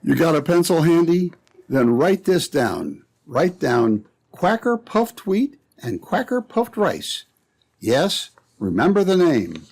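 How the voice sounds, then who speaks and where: A man's voice: fairly high pitch, sing-song, takes it slowly, darkish tone. A man narrating as an old-time radio announcer, with scripted, dramatic and emphatic delivery.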